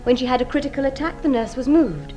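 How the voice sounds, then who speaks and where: A proud, well-bred young lady in a radio mystery. Her voice sits on the high side, and she speaks precisely and formally with composure. There is faint music. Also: big pitch swings, fast talker, warm tone, highs cut off. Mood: defensive, firm. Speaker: actress in a radio mystery drama. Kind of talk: British radio drama acting.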